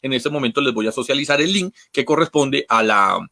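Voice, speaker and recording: Bright-voiced Colombian man hosting. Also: medium pitch, fairly quick. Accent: Bogotá Colombian. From Atlántico, Caribbean coast of Colombia. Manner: wordy, repetitive, courteous address. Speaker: host of an academic talk programme. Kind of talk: informal live online hosting.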